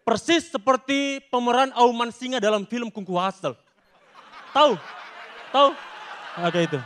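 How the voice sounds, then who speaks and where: A young man delivers stand-up on a stage with a Makassar Indonesian accent. His voice sits high for a man, and he tells his stories with emphatic, theatrical punch. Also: moderate pace, a bit bright. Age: young adult. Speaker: stand-up comedy contestant. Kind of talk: stand-up comedy performance. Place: large TV studio stage with audience. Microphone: handheld microphone.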